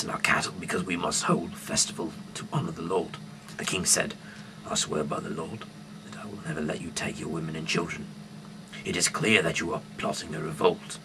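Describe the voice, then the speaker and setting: A man reads scripture aloud in a very low, creaky voice. He stumbles and hesitates, leaning hard on some phrases and letting others trail into a murmur. The amateur recording has clearly audible noise behind him. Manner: halting, stumbling over words, with sudden loud emphasis. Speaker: amateur Bible reader. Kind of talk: reading scripture aloud with off-the-cuff asides.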